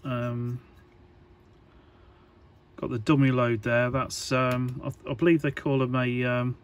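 A man giving an informal hands-on tutorial, talking in a halting, hesitant way as he thinks aloud. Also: mid-range voice, flat delivery. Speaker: electronics hobbyist presenter.

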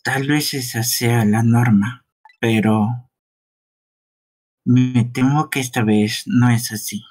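A man playing a grave, reluctant police detective in a halting, sombre game fan dub. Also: mid-range voice, steady tone, a little mellow. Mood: grim. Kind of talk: live fan dubbing of a visual novel game.

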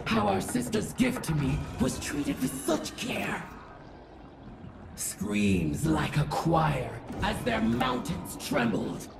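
A menacing alien goddess of war for a video game, grieving and vengeful. The delivery is theatrical and rasping, with pitch that ranges widely. The voice sounds distant and echoey, with music clearly underneath. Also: female voice, huge pitch swings, full character voice. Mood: triumphant fury. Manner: grandiose, slow, theatrical, swinging from whispers to shouts. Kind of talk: dramatic game audio-log monologue.

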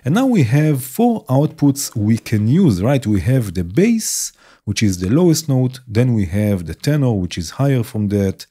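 A man hosting a modular synth tutorial, explaining patches in a relaxed way and often checking in with "right?". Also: mid-range voice, a little slow, slightly rough. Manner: relaxed explanatory, frequent "right?" tag questions. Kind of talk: screen-recorded software tutorial. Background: synth music playing loudly under the voice.

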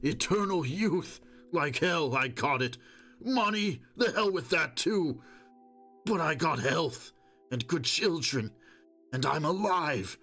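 A man voicing a wounded, wheedling old stalker in an audiobook, boastful and desperate, with a hoarse, strained, pleading voice. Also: a little high, expressive, slow, a bit bright, soft background music, pitched above the performer's own voice, full character voice. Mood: feverish pleading. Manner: pleading, moaning, insistent.

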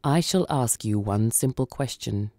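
A woman voicing a sombre, coolly mocking male judge of the dead in a radio story, measured and stern. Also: extremely low pitch, lively intonation, mellow, no room sound, full character voice. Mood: solemn.

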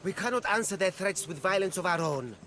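A cautious street vendor of ancient Greece in a video game. His high voice rises and falls in animated, earnest phrases, with faint music underneath. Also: a little fast. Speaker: non-player game character. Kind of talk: scripted game dialogue.

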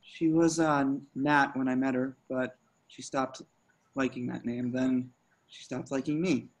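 A man's voice: medium pitch, steady tone. Man reading as awkward, nervous young slacker. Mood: sheepish. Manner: nervous, halting, stammering. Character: awkward, anxious young male slacker musician. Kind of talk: amateur table reading of a film script. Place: remote video call.

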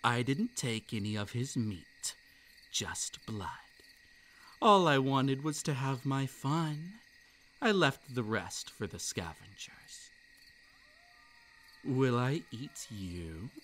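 A man voicing a possessive yandere male kitsune monster for roleplay ASMR. He breaks into unhinged laughter and drops into soft, breathy whispers. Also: mid-range voice, animated, takes it slowly, long pauses, slight background noise. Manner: gleeful, unhinged laughter between lines.

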